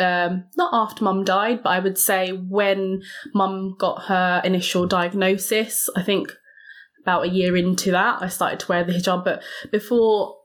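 A woman, a podcast guest, speaks reflectively and hesitantly, with many pauses and fillers. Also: mid-range voice, a bit bright. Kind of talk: reflective personal interview.

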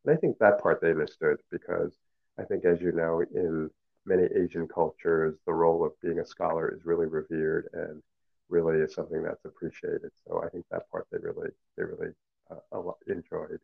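A middle-aged man, a professor whose first language is Cantonese, answering interview questions in a measured, reflective way with many fillers and restarts. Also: deep voice, vocal fry. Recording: phone-like narrow sound.

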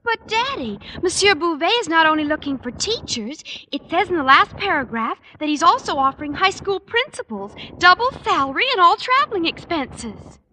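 An earnest teenage daughter in a radio comedy. Her girlish voice is very high and swoops animatedly. The old recording carries faint noise. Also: very animated, normal speed, few pauses, bright, forceful tone, full character voice, low-quality recording. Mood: protesting. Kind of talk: old-time radio sitcom acting.

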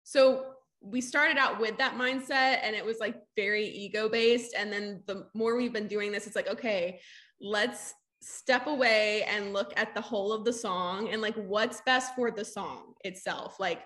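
A woman's voice: mid-range voice, fairly even intonation, a little slow, fairly bright tone. Casual woman, soulful grit. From Southeast Texas, US. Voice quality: soulful, gritty. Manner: informal, frequent "like". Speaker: singer-songwriter in a sister duo. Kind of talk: casual interview chat.

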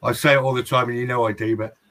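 A man's voice: mid-range voice, little pitch movement, fast talker, fairly bright tone. A man from Britain talking casually on a podcast in a plain-spoken, colloquial way. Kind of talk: casual chat.

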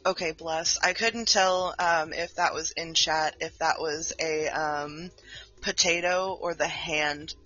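A woman's voice: deep voice, steady tone, slow pace, pushed and bright, dull audio, soft background music. A woman hosting her podcast in casual live chat, chatty and apologetic, her voice a little off from wildfire smoke. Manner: chatty, apologetic, with frequent fillers. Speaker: podcast host.

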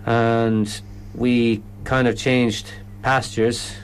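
A man's voice: mid-range voice, little pitch movement, drawn-out words, a little hiss or hum. An Irish man explaining his comedy event in a radio interview, often stopping and restarting sentences. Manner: hesitant, lots of restarts.

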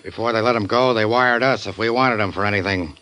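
A bright, blunt police sergeant. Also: male voice, on the low side, fairly quick, poor audio. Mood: brusque. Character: gruff police sergeant, adult man. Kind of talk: 1940s radio acting.

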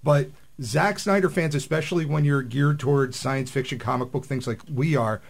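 A man's voice: mid-range voice, moderate pace, gravelly. A man co-hosting a casual panel chat on live radio. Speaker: podcast co-host. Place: radio studio.

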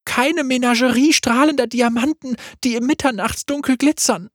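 A man voicing a frightened young actress who speaks in a high, stammering, theatrical way, with a husky tone. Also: moderate pace, no echo, clean studio sound. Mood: trembling wistful. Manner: high, stammering, grand stage delivery.

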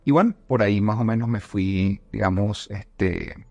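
Male podcast guest from Venezuela, low register, reflective and hesitant delivery, faint music bed. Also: unhurried, gravelly, a little mellow, no echo. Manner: reflective, with many restarts and fillers. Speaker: guest, leadership coach. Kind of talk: conversational podcast interview.

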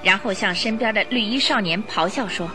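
A woman's voice: mid-range voice, a little fast. A woman reading dramatic scripted narration for a radio play. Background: music.

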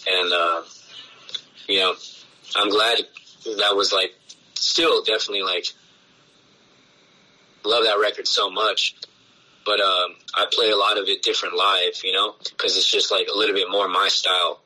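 A man, a punk band's bassist, talking loosely in a casual interview, full of swearing and filler words. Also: flat delivery, unhurried, long pauses, bright, forceful tone, low-quality recording. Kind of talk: casual podcast conversation.

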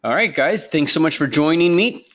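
A man's voice: fairly high pitch, expressive, moderate pace, a bit bright, muffled recording. A man hosting a casual interview in an enthusiastic, appreciative, conversational manner. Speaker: podcast interview host.

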